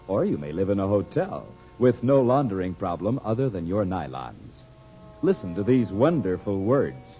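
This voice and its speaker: A man's voice: lowish voice, warm tone. A man reading a 1940s radio advertisement as the show's announcer. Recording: dull old radio recording.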